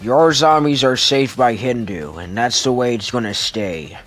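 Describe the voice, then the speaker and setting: A man voicing a brutal villain boss in an amateur comic dub, threatening, pompous and deliberate. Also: mid-range voice, a bit bright, soft background music, close to an everyday voice. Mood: menacing. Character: menacing brutal villain boss interrogating a captive.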